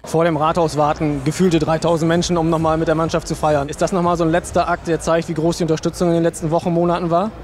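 High, rapid-fire male reporter. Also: flat delivery, music in the background. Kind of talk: on-location interviewing. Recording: clear background event noise.

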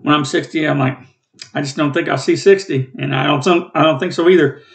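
A man in his late fifties with a rough, coughing throat, giving a rambling, plainspoken Bible study from home over a webcam. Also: on the high side, fairly even intonation, brisk. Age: 50s. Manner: rambling, plainspoken, self-corrects. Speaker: Bible teacher and channel host. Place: small room at home, indoors.

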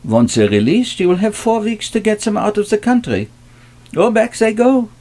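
A man playing a cold, curt, officious government clerk in a radio play, with a husky voice. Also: high voice, big pitch swings, moderate pace, warm tone. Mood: stern, insistent. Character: cold, officious Nazi-era city hall clerk.

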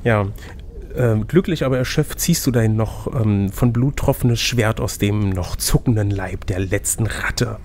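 A man's voice: on the low side, a little slow, a little mellow, slight background noise. A man narrating an adventure gamebook as game master, switching between scripted reading and casual chat.

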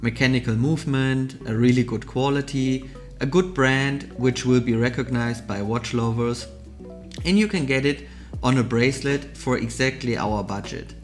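A man's voice: medium pitch, moderate pace. A man hosting a watch vlog, narrating a mall shopping trip in an enthusiastic, explanatory voice-over. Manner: enthusiastic, explanatory product commentary. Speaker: vlogger, watch channel host. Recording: soft music underneath.

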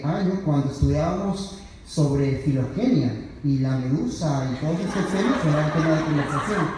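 A man's voice: high-pitched, warm tone. A young man, a graduating student, reading a prepared speech at a podium in an earnest, declamatory manner. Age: young adult. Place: large university auditorium, indoors. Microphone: podium microphone, picked up by distant audience camera. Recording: echoey hall from far off, faint noise.

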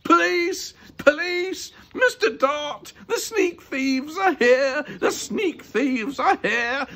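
A man's voice: mid-range voice, normal speed, fairly bright tone. A man voicing a plucky, sensitive little boy in a storybook, in an earnest, plain-spoken way. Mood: shouting alarm.

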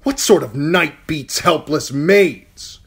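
A man's voice: medium pitch, big pitch swings, measured pace, husky, voice pushed up, exaggerated voice. A man voicing a cutting, commanding dwarf lord who scolds with scorn. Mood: indignant. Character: sharp-tongued, commanding adult dwarf lord.

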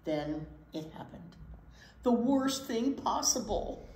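A woman in her sixties reading a picture book aloud to children, with dramatic pauses and emphasis. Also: on the high side, animated, a little mellow. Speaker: children's storytime reader. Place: indoor children's library room. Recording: roomy space with faint background noise.

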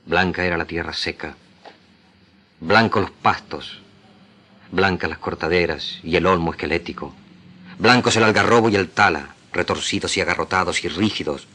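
Low, forceful Argentine man reading dramatically. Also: normal speed. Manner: solemn, declamatory. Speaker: radio actor reading literature. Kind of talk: dramatic literary reading for radio.

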